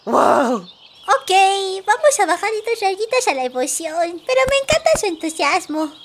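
A woman's voice: very high-pitched, very animated, a little slow, full character voice. A woman voicing the sweet, gushing spirit of Life in a cartoon dub, with a Latin American Spanish accent. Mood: cheerful, coaxing. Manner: gushing, cheerful, exclamatory. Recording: loud background noise.

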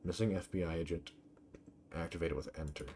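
A man's voice: low voice, fairly even intonation, fairly quick. A man streaming a video game with casual, sweary commentary, reacting and thinking aloud. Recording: game ambience noise behind voice. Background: video game ambience.

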